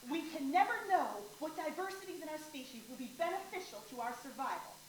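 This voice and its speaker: A woman in a staged play reading, playing an excitable academic who speaks emphatically and repeats words. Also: high voice, quick pace. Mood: eager, emphatic. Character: excitable female academic expounding on neurodiversity. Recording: echoey room, far from the mic.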